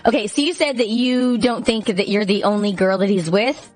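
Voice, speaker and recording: A woman's voice: mid-range voice, steady tone, normal speed. A woman co-hosting a radio show with casual banter.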